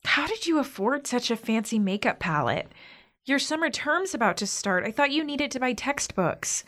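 An actress playing a self-conscious, anxious young eldest sister with an American accent, in a naturalistic modern audio drama. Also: female voice, sits high, very animated, moderate pace, a bit bright. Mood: touched, curious. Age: young adult. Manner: self-deprecating, earnest.

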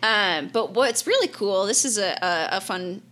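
A woman's voice: mid-range voice, expressive, measured pace, sharp tone, a little hiss or hum. A young adult woman co-hosting a podcast, chatting casually and enthusiastically, swearing freely and using lots of fillers. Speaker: podcast co-host. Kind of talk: casual chat.

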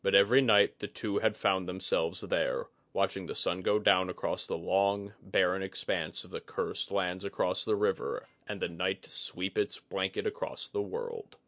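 Male audiobook narrator for scripted fantasy fiction, lower register, dry studio-like sound. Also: moderate pace, hardly any pauses, a bit bright, no echo.

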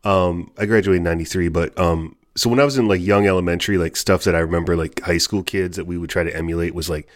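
A man in his forties with a deep voice talks loosely on a podcast, saying "like" again and again as he tells his story. The sound is dry and close-miked. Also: fairly even intonation, quick pace, hardly any pauses, no room sound. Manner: chatty, says "like" constantly. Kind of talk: casual podcast conversation.

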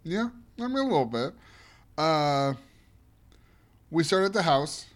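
A man co-hosting a chatty podcast, his voice sitting a little higher than most men's. He recaps with emphatic, opinionated conviction, pressing hard on his verdicts. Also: lively intonation, unhurried. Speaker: podcast co-host. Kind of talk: casual chat.

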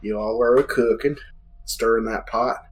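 A man's voice: mid-range voice, fairly even intonation, moderate pace. A man running a tabletop game as game master, narrating off the cuff in a casual, hesitant way.

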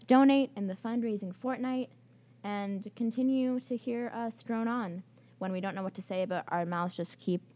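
A woman's voice: fairly high pitch, moderate pace, mellow. A young woman co-hosting college radio, giving a station plug in a joking, rambling, self-mocking way. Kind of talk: station announcement and casual banter. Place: radio studio.

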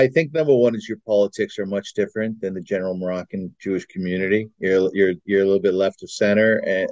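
A man talks casually as an interview guest. His voice sits a little low, and the recording is dry and somewhat dull, with little room sound. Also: darkish tone, no echo. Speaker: podcast guest.

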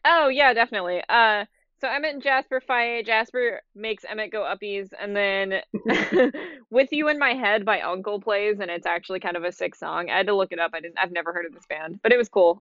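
A woman co-hosting a comedy podcast, laid-back with giggly, deadpan asides. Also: mid-range voice, brisk, dry recording, muffled recording. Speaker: podcast co-host. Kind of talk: casual comedic chat.

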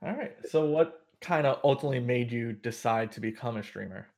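A man's voice: medium pitch, fairly quick, darkish tone. A young man hosting a podcast, opening with a read intro and then asking casual questions. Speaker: podcast host. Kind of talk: podcast interview.

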